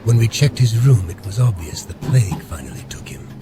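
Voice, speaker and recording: A man's voice: lowish voice, animated, a little fast, loud music behind the voice, natural voice. A man playing a stern, brusque hospital security chief in an audio drama, curt and officious. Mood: curt, official.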